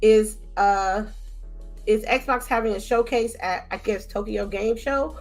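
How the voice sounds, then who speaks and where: A woman's voice: mid-range voice, takes it slowly, soft background music. A woman with an American accent hosting a casual gaming podcast and reading listener questions aloud to her co-hosts. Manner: reads questions aloud, apologetic and laughing. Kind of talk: casual chat.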